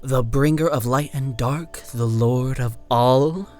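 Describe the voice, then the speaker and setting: A man voicing a zealous, bright-eyed woman evangelist in a horror audio drama, insistent and preachy. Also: medium pitch, takes it slowly, soft background music, pitched above the performer's own voice, natural voice. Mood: fervent.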